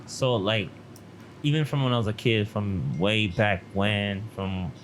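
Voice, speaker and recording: A man, a model being interviewed, talking in relaxed street slang and often checking in with "you feel me". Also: fairly low pitch, unhurried, a little hiss or hum. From Toronto, Canada. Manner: laid-back street slang, frequent "you feel me" tags. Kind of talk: casual interview chat.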